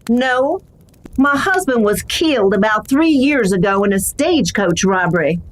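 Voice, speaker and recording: High-voiced feisty frontier ranch widow played by a woman. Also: expressive, normal speed, lightly acted, rough recording. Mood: sad, resolute. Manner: folksy frontier drawl and slang. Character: tough widowed Old West ranch woman, feisty and plainspoken. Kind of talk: old-time western radio play acting.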